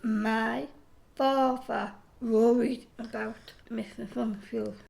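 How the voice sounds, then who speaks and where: An actress playing a mischievous young doctor's daughter in an audio play, speaking in short, blunt phrases. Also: female voice, a little high, steady tone, slow, vocal fry, darkish tone, full character voice.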